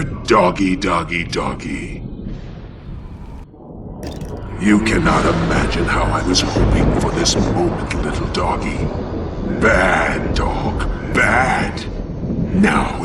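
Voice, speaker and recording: A man's voice: sits low, recorded from a distance, full character voice. A man playing a bitter, vengeful half-angel, half-demon outcast in a theatrical, sardonic video game cutscene monologue. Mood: mocking, menacing. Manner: theatrical, brooding, deliberate, sardonic.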